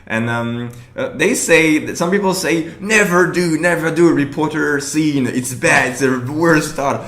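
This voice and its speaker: A man talking through his own improv show in a relaxed commentary, halting and restarting often, laughing along the way. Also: on the high side, reverberant. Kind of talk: casual commentary over show footage.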